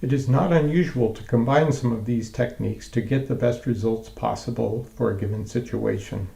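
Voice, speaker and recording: A man presenting scripted technical training in a measured, explanatory way with careful enunciation. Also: medium pitch, creaky, warm tone, roomy sound. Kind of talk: scripted instructional narration.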